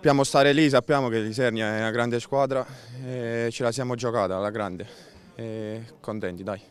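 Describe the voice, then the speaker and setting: A man's voice: mid-range voice, fairly even intonation, moderate pace, clean tone. A young man, a goalkeeper, answering outdoors after a match in short upbeat phrases. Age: young adult. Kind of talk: post-match interview. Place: outdoors by a football pitch. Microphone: handheld interviewer mic.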